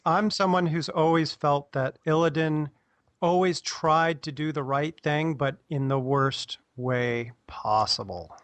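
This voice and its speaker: A man's voice: on the high side, a little slow, a bit bright, dry recording. A man on a podcast panel, giving his opinion in casual conversation.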